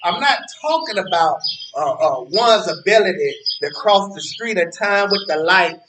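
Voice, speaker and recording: A man's voice: high-pitched, expressive, normal speed. An actor playing a cranky, worried old man in a stage play, stammering and repeating himself as he argues. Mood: exasperated, explaining. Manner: stammering, repetitive, emphatic, argumentative. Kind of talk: live stage play dialogue. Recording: roomy distant stage recording with ambient noise.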